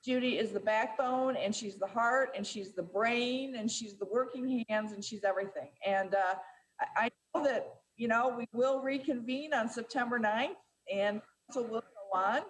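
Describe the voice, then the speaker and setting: A woman presiding over a city council meeting, speaking in a measured way with frequent fillers and restarts. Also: on the high side, rough recording.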